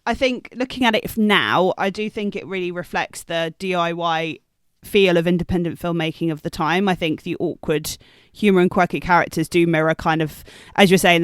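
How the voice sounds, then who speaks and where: A woman's voice: fairly low pitch, steady tone, fairly quick, clean tone, no echo. Woman in her thirties, dry close sound. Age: 30s. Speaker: podcast co-host. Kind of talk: casual film discussion.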